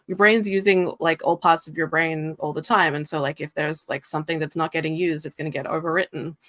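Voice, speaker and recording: A woman's voice: low-pitched, fairly even intonation, a little fast, fairly bright tone, no echo. A woman co-hosting a casual livestream chat, earnest and rambling as she works through ideas. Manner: rambling, many "like" fillers, earnest.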